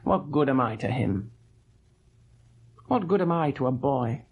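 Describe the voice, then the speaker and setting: An actor playing a weak, gentle husband of about thirty in a radio drama, husky and halting, with a plaintive, weary manner. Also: male voice, medium pitch, lively intonation, phone-quality sound. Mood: self-reproachful. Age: 30s. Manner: halting, plaintive, self-doubting. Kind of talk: old radio drama acting.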